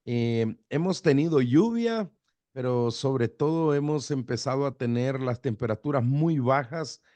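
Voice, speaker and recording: A middle-aged pastor from El Salvador, with an accent mixing Salvadoran and Guatemalan Spanish, speaking in a relaxed radio interview full of fillers and restarts. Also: male voice, mid-range voice, measured pace, no room sound. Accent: Salvadoran-Guatemalan border Spanish. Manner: relaxed, hesitant with fillers and repeated words. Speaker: pastor, guest.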